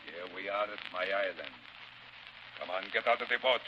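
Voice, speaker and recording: An actor playing a gloating, sadistic pirate boss in a 1930s radio drama, bullying in broken English with a Spanish accent. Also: male voice, low voice, normal speed, muffled recording, natural voice. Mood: commanding. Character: gloating sadistic pirate captain, fat, menacing bandit boss. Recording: hissy 1930s radio recording.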